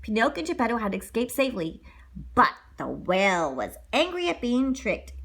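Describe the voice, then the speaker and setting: A woman narrates a children's storybook with a high, bright voice. Her delivery is very lively and dramatic, made for a read-along. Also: normal speed, creaky, husky. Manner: dramatic, expressive. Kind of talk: animated storybook read-aloud.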